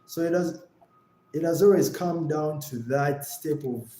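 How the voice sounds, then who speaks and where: A man's voice: a little high, measured pace, warm tone, reverberant, rough recording. A young man from Uganda talking on a livestream, earnest and reflective, often repeating his phrases. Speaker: live streamer and creator. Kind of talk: livestream talk.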